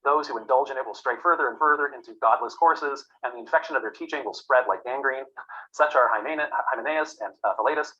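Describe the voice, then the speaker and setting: A man's voice: medium pitch, fast talker, sharp tone, muffled recording. A man giving a classroom lecture, rambling with many fillers and restarts.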